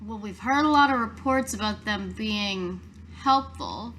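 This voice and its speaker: Female tabletop player: bright, forward mid-pitched voice, improvised roleplay, faint noise. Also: unhurried.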